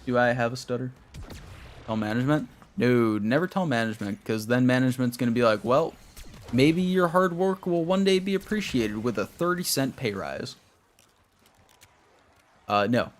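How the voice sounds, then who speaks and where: A man's voice: mid-range voice, lively intonation, normal speed, pauses often. A young man streaming a game, chatting casually with his viewers in a rambling way while he plays. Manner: rambling, talks to chat while playing.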